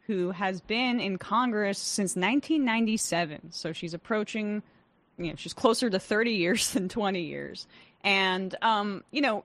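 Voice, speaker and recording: A woman's voice: medium pitch, a bit bright. A woman co-hosting a podcast, giving blunt and opinionated political commentary. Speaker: podcast co-host.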